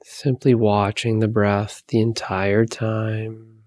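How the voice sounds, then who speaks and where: Slow, low-voiced man guiding meditation. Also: dry recording. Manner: calm, soothing, unhurried instruction with long pauses. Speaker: meditation guide. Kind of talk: guided meditation.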